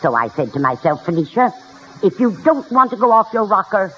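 A woman's voice: mid-range voice, very animated, a little fast, close to an everyday voice. An actress playing a spry elderly college freshman in an old radio comedy, chatty, wry and forthright. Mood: wry, gracious. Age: older. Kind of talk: 1940s-50s radio comedy acting. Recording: muffled, hissy old radio broadcast.

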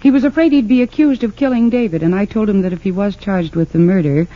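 Woman acting sharp lawyer lady in old radio mystery, assertive, persistent, muffled sound. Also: mid-range voice, fast, lightly acted. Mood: accusing. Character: determined, quick-thinking woman defense lawyer. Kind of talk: 1940s radio drama acting.

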